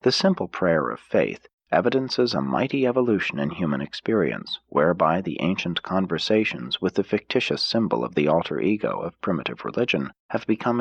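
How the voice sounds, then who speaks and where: Deep-voiced male audiobook narrator, slightly husky. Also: no echo, highs cut off.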